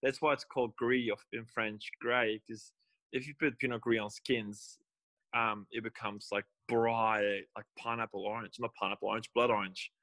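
Young man, lowish voice, loose rambling talk, dry close recording. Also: little pitch movement, normal speed, pushed and bright, dry recording. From Belgium. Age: young adult. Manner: rambling, restarts and self-corrections. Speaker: guest winemaker. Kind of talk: casual interview.

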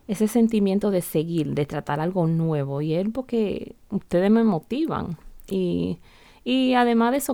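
A middle-aged woman raised in the Bronx hosts a solo podcast, speaking Spanish with a loose mix of Dominican and other Latin American accents. Her voice sits low and mellow with a gravelly fry. She rambles softly and restarts often, and the recording is close and dry. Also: no echo. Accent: Dominican Spanish mixed with other Latin American accents. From United States (the Bronx). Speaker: podcast host. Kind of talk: casual solo podcast monologue.

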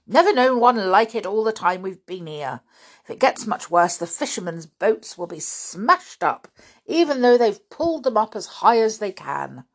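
A woman's voice: medium pitch, very animated, moderate pace. A woman voicing an irritable man, a fierce uncle snapping angry complaints in a storybook. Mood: fierce grumbling.

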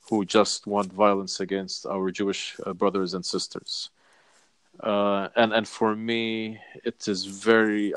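Palestinian guy, lowish voice, slow careful interview answers, dry close mic. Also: no echo. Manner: measured, deliberate, lists points. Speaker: interview guest. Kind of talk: earnest advocacy interview.

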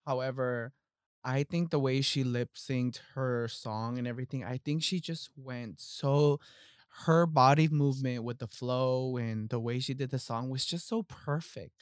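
A man chats as the host of a pop-culture recap podcast. His pitch sits in the middle and the sound is dry, with little room. He is sassy and emphatic, leaning on slang and swearing. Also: fairly even intonation, measured pace, smooth, no room sound.

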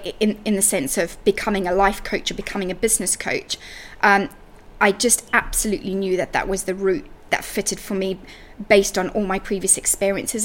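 A woman from Zimbabwe speaking as a podcast guest and coach in a conversational interview. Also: mid-range voice.

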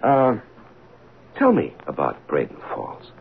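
A weary American police detective in an old-time radio play. His voice is gravelly and swings widely in pitch as he talks in halting, colloquial, wry phrases. The sound is thin and band-limited, like an old broadcast. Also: huge pitch swings, narrow, tinny audio, heavily acted. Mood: awkward, probing. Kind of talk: old-time radio drama acting, first-person narration and dialogue.

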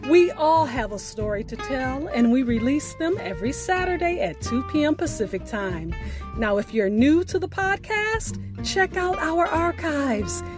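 A woman podcast host giving a scripted, poetic address in a deliberate, measured delivery. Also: high-pitched, very animated, music in the background. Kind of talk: scripted podcast sign-off and poetry recitation.

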